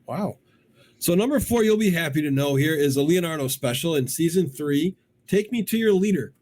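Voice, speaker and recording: A man's voice: on the high side, lively intonation, moderate pace, darkish tone. A man hosting a casual countdown chat about cartoons, curious and chatty. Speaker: podcast host.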